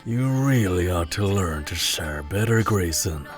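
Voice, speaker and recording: Man acting a very deep, slow, leering pirate. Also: animated, soft background music. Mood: lewd, taunting. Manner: taunting, lewd, slow drawl. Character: gaunt, leering, menacing male pirate. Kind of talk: audio drama acting.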